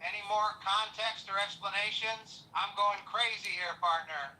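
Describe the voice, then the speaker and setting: A man's voice: high-pitched, fairly even intonation, normal speed, pushed and bright, low-quality recording. A man voicing a folksy cowboy chatbot who drawls, calls everyone partner and keeps asking baffled questions.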